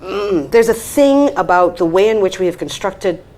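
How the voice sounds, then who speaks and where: A woman's voice: medium pitch, expressive, moderate pace, darkish tone, low-quality recording. A woman, a panel guest making firm, argumentative points. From Bahamas. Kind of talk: discussion panel.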